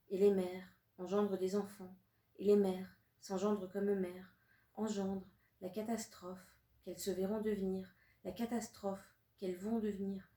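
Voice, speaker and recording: A woman's voice: mid-range voice, mellow. Russian-born woman reading in an echoey room. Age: 30s. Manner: measured, deliberate delivery with long pauses. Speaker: author. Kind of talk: literary reading of her own poetic prose. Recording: roomy sound from a distant mic.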